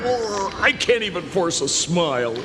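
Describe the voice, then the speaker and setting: A man's voice: sits high, big pitch swings, a little slow, noisy background. A man playing a flippant, exasperated young sidekick in a video game, slangy and given to exaggerated reactions. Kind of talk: video game character acting. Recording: long reverb, music behind.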